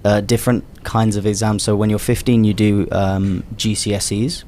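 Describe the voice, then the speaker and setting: A young man from the United Kingdom, a radio guest telling a story in an animated way and explaining patiently. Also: fairly low pitch, moderate pace. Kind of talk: casual storytelling.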